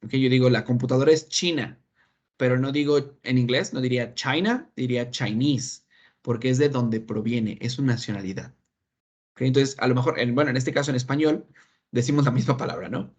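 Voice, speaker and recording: A man's voice: mid-range voice, a little fast, a little mellow. A man with a Mexican Spanish accent teaching an online English class, explaining patiently and often checking understanding. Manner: patient, repeats points with frequent "¿ok?" checks.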